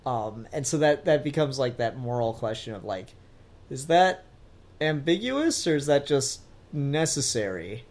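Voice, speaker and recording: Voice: mid-range voice, very animated, unhurried, darkish tone. A podcast co-host discussing fiction casually, in a conversational and analytical way.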